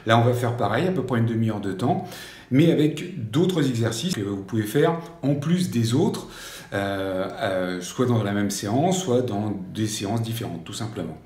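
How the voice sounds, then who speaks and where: A man in his fifties leads a home strength workout in a soft, mellow voice, gently reassuring listeners and pausing with hesitations. He sounds distant from the microphone in a strongly echoing room, with faint music underneath. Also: on the low side, lively intonation. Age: 50s. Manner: gentle, reassuring, repeats advice not to strain, frequent hesitations. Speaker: life coach leading a strength workout. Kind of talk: exercise instruction video. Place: room at home with an exercise mat. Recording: very echoey room, voice far from mic.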